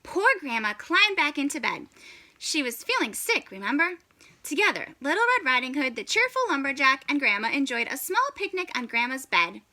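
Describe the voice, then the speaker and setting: A woman reading a children's story aloud in an animated, expressive way with dramatic pauses. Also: high-pitched, slight background noise.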